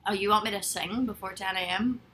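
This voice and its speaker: A woman's voice: mid-range voice, steady tone, brisk, sharp tone, slight background noise, low-quality recording. A young woman live-streaming from bed, chatting casually and rambling as she reads viewers' comments aloud. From Ireland. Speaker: livestreamer. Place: bedroom, in bed.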